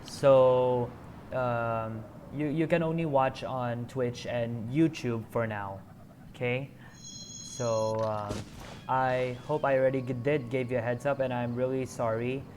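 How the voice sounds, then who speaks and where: A male game streamer chats through his session at a slow, unhurried pace, stopping often on fillers. His voice sits at an ordinary middle pitch. He sounds apologetic and a little halting as he talks to viewers. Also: steady tone, darkish tone, a little hiss or hum. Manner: hesitant, many fillers, apologetic. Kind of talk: casual stream commentary.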